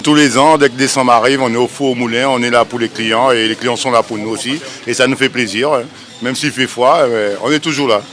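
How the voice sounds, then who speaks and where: A man's voice: medium pitch, fairly even intonation, fairly quick, fairly bright tone. A man working in a shop, answering casually in an on-the-spot interview.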